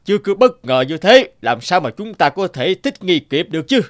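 An actor playing a hungry, grumbling night-hunting wild cat in an animated fairy tale. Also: male voice, high voice, normal speed, no room sound, clean studio sound.

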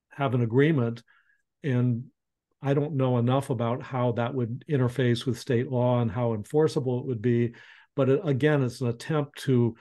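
Man in his fifties, warm relaxed voice, thoughtful, video call from a study. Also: mid-range voice. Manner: reflective, searching for words, frequent restarts. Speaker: panelist. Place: home study with bookshelves. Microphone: video call webcam.

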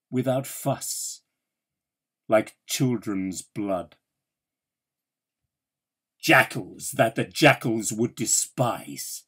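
Slow, theatrical man reciting poetry. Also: mid-range voice, a bit bright. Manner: measured, theatrical delivery with emphatic pauses. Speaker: actor reading a poem.

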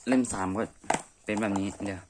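A man chatting informally and unscripted as an amateur reviewer, thinking aloud in a room at home. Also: fast talker. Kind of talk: casual unscripted review chat. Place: messy room indoors. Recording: clearly noisy amateur home recording.

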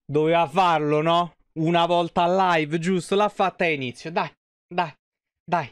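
A man in his thirties with a smooth, clear voice that sits a little high. He streams games live in a casual, informal way, swearing freely as he talks to chat. The sound is close and dry. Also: steady tone, a little slow, dry recording.

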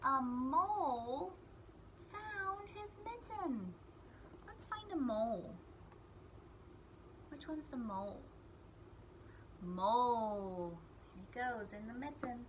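Bright, high-voiced woman reading slowly. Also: lively intonation, slight background noise. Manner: deliberate, simple phrasing with emphasized key words. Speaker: children's read-aloud storyteller. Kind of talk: simplified picture-book read-aloud for young children.

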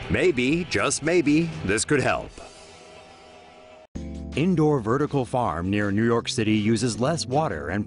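A man reading a scripted news voice-over in a lively, wry manner. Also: mid-range voice. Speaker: news narrator.

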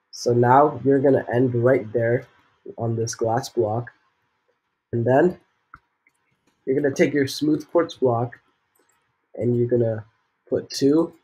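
Husky young guy, sick-sounding tutorial voice. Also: medium pitch, mellow, low-quality recording. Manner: rambling, lots of fillers, jokey asides. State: sick, voice a bit off. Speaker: gaming tutorial host. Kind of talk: casual building tutorial voice-over.

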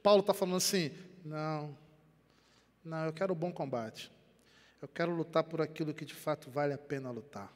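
Older Brazilian pastor preaching in a reverberant hall. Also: male voice, fairly high pitch, brisk. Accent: Rio de Janeiro Portuguese. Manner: animated preaching with wide gestures, swings between quiet and loud. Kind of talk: sermon. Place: church sanctuary. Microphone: headset. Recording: long church hall reverb.

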